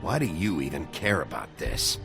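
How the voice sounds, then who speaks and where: An actor playing a gruff, weary, no-nonsense sheriff in a noir video game, blunt and terse. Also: male voice, extremely low pitch, very animated, moderate pace, over music, exaggerated voice.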